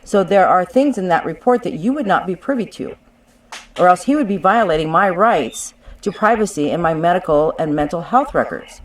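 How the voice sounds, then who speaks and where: A woman's voice: on the low side, lively intonation, normal speed, far from the mic. A woman arguing insistently and combatively over a legal dispute on a conference call, as a claimant representing her own case. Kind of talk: contentious legal phone discussion. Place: conference call, some distance from the mic.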